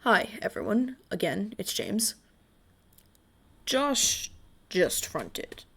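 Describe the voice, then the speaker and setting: A woman vlogger with a gravelly, fry-laden voice talks to her viewers off the cuff. She goes slowly and haltingly, with frequent pauses, at a middling pitch. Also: big pitch swings, fairly bright tone. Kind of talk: casual unscripted vlog chat to viewers.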